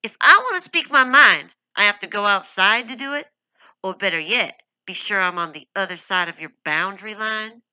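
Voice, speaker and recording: A woman's voice: mid-range voice, animated, unhurried, bright, forceful tone, dry recording, pitched above the performer's own voice, exaggerated voice, rough recording. A woman reading a hurt, defiant young wife.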